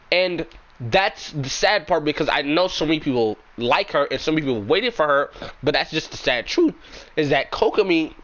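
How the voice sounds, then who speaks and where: A young man with a sick, raspy voice, giving frank, rambling opinions as a gaming YouTuber in casual commentary. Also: fairly high pitch, fairly bright tone.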